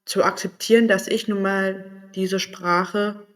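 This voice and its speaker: A man's voice: high-pitched, little pitch movement, takes it slowly, a bit bright, smooth. A man talking candidly in a personal vlog, thinking aloud and searching for words as he goes. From Berlin area, Germany. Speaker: vlogger. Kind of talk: personal reflective monologue.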